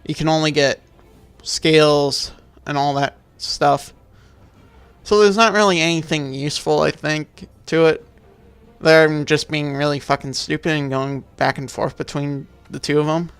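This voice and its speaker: A man's voice: a little high, steady tone, unhurried, a bit bright, clean tone, no echo. A man giving casual, rambling game commentary as a video maker, dismissive and freely swearing.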